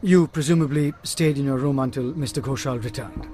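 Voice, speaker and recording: An actor playing a composed, courteous, probing private detective in a radio drama. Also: male voice, medium pitch, a little fast, close to an everyday voice. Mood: polite, probing. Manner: polite, composed, questioning.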